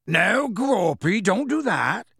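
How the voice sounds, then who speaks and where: A man putting on a huge, boisterous half-giant with a kind heart, bellowing in a raised, swooping voice. He coaxes slowly and drags his words out. The audiobook recording is dry and close. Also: sits high, sing-song, gravelly, dry recording, voice pushed up, heavily acted. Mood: scolding, coaxing. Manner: loud coaxing, slow and drawn out. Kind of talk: audiobook character voice.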